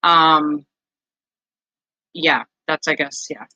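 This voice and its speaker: A woman's voice: sits low, steady tone, extremely slow, bright, forceful tone, dry recording, muffled recording. A woman chatting casually in an online voice chat, rambling and leaning heavily on fillers. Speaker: voice chat participant.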